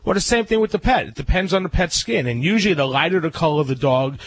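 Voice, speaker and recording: A man's voice: a little high, animated, quick pace, no echo. A man with a nasal, congested voice who is a guest dog groomer, giving casual advice in a chatty, rambling way with many fillers. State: congested from a cold.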